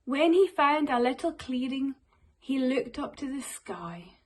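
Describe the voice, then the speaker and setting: A woman with a Scottish accent reading a storybook aloud to children like a primary teacher, expressive and addressing her young listeners. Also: high-pitched, unhurried.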